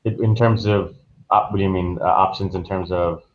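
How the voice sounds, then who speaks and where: A man's voice: low voice, fairly even intonation, moderate pace. An American man speaking as a travel agent guest on a podcast, explaining things in an informal, conversational way. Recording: dull, lo-fi online call audio.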